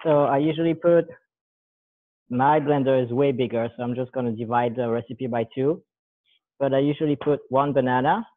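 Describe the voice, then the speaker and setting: A man's voice: medium pitch, moderate pace. A man in his thirties casually walking through a recipe, rambling and correcting himself as he works. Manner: relaxed, rambling, self-correcting while working with his hands. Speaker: guest demonstrating a recipe. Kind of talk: casual cooking demo. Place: large bare room with a counter, indoors. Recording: thin phone-like call audio.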